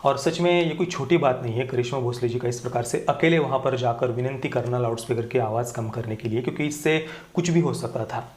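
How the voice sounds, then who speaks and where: A man's voice: mid-range voice, a little fast, hardly any pauses, a little mellow. A man giving earnest opinion commentary to viewers, emphatically repeating his key points. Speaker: video commentator. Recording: roomy, echoing indoor sound.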